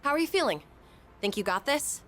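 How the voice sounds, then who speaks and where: An actress playing a peppy, encouraging teenage team manager in a video game, bubbly and enthusiastic. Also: female voice, sits high, sing-song, brisk, a bit bright, faint music behind. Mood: upbeat.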